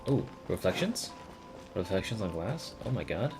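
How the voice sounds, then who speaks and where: A low-pitched man does livestream game commentary. He drifts between casual, amused asides and reading game text out loud, with clear game music playing behind him. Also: sing-song, moderate pace. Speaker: game streamer.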